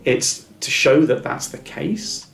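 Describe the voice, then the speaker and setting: A middle-aged man who stammers, giving earnest, encouraging advice in a reflective interview. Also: slow pace. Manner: stammers with repeated words and restarts. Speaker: interview guest. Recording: echoey room with faint music.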